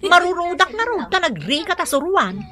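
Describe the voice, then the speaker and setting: High, sharp-voiced nagging wife. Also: female voice, very animated, moderate pace, heavily acted. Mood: complaining. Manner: nagging, scolding, exasperated. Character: bossy, impatient schoolteacher wife nagging her husband. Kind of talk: comedic radio drama acting.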